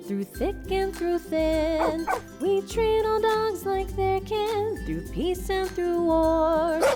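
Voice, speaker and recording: Very high, childlike jingle singer. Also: female voice, slow pace. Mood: sweet, devoted. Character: cutesy jingle singer for a doggy daycare. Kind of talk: sung advertising jingle. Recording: loud children's music under the voice.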